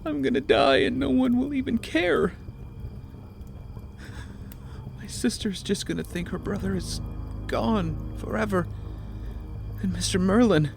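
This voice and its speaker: An actor playing a starving, guilt-wracked young castaway in an audio drama, emotional and self-pitying. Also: male voice, high voice, animated, moderate pace, vocal fry, faint music behind. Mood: anguished.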